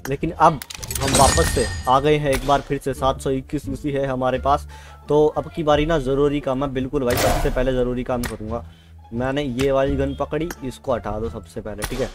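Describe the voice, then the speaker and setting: A man's voice: medium pitch, steady tone, moderate pace. A man doing casual gameplay commentary, chatting to viewers and repeating his phrases. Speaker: gaming video creator. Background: game music.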